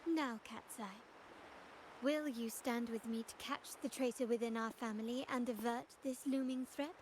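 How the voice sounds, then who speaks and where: An actress playing a formal, cool and calculating heiress of a powerful family in a video game, speaking in measured, composed lines. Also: female voice, fairly high pitch, fairly even intonation, a little mellow, slight background noise, natural voice, low-quality recording. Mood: composed, inviting.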